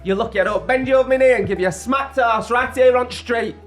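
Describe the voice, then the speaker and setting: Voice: medium pitch, fast, faint music behind, lightly acted. A performer voicing a brash, scolding mother, nagging and gossipy. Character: northern English mum, brash and scolding.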